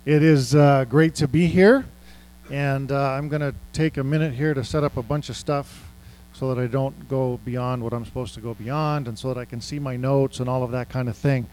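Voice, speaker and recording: A man's voice: mid-range voice, fairly even intonation, moderate pace, darkish tone. A middle-aged man speaking as a guest ministry speaker to a church, chatty and self-deprecating with many fillers. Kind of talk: casual church talk. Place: church sanctuary.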